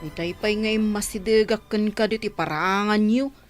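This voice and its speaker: A woman's voice: medium pitch, fairly even intonation, normal speed, faint music behind, lightly acted. An actress playing a teasing young village woman who mocks her friend in a comedy radio play. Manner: teasing, mocking.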